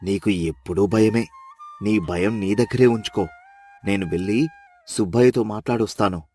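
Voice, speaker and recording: Man acting stubborn greedy village husband, low voice. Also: normal speed, dark tone, soft background music, close to an everyday voice. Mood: stubborn, dismissive. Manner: dismissive, bossy, persuasive. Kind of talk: dramatized moral story.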